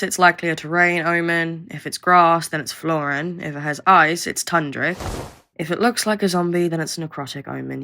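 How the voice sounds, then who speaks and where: A woman's voice: low voice, a bit bright. Sardonic, impatient actor as a woman researcher. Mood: dry, stern. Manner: sardonic, impatient, bluntly insulting. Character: curt, condescending young female government monster researcher. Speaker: researcher guiding a new recruit. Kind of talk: audio roleplay acting, addressed to listener.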